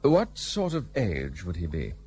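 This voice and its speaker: A proud, guarded gentleman of British India in a radio drama. His slightly low voice moves expressively, with formal, brusque phrasing and a bitter edge. Music sits faintly behind him. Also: huge pitch swings, unhurried, heavily acted. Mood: guarded, reserved. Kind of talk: period radio play acting.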